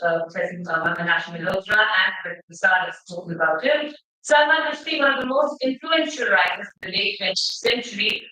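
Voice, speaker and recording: A middle-aged woman from Bengal with an Indian English accent, giving a measured, rhetorical seminar lecture with slides. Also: mid-range voice, pushed and bright. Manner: measured, rhetorical, asks the audience questions. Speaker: seminar speaker. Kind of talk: academic lecture presentation with slides. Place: seminar hall. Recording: echoey hall, mic at a distance.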